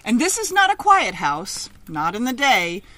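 A middle-aged woman reads a children's picture book aloud outdoors, with expressive, emphatic, sing-song phrasing. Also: a little high, a little slow. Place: outdoors in a leafy garden.

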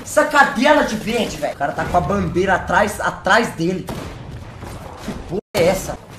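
A young man with a Brazilian Portuguese accent streams a game from his bedroom through a headset. His voice leaps up and down as he jokes and shouts boastful mock English. The room rings with echo under a faint hiss. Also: sits high, sing-song, moderate pace. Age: young adult. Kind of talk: live gameplay commentary. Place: bedroom with gaming chair.

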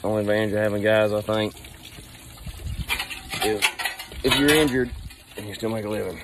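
A man's voice: lowish voice, steady tone, normal speed, background noise. A man, filming himself with a phone outdoors on a job site, talking in an easygoing, rambling way about running his plumbing company. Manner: easygoing, rambling talk with fillers. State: sore back from heavy lifting. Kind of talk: casual selfie vlog. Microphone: phone at arm's length.

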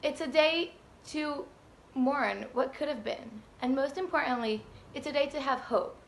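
High, creaky-voiced teenage female student. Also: a little slow, a little hiss or hum. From Israel. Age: teens. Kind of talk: prepared personal reflection speech. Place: indoors in a home room.

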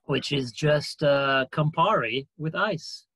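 A man's voice: fairly high pitch, slow, fairly bright tone, slightly rough, no echo. A man in his thirties from Brazil, chatting casually as a guest on a video call. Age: 30s. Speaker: theatre artistic director and guest. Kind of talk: casual chat. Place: room at home.

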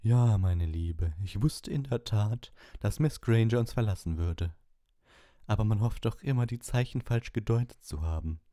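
Guy doing a middle-aged woman fortune teller, audiobook, affected and drawn out, raised pitch, smug. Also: lively intonation, normal speed, dark tone, no room sound, studio quality, lightly acted. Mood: airy, self-important. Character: theatrical middle-aged female fortune-telling teacher, smugly mournful.

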